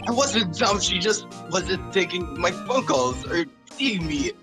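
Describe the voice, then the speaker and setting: A hot-headed young male defendant acted out by a game streamer protests in slangy, indignant bursts. His pitch leaps around in a very lively way. Music is clearly heard behind him. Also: a little slow. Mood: defensive. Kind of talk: voiced visual novel read-through on a game stream.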